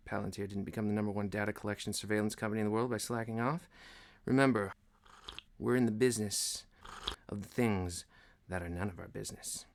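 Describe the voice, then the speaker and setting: A man playing a stern corporate boss in an ASMR roleplay, officious and deadpan, dryly menacing yet caring. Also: fairly low pitch, a little fast, vocal fry, slight background noise.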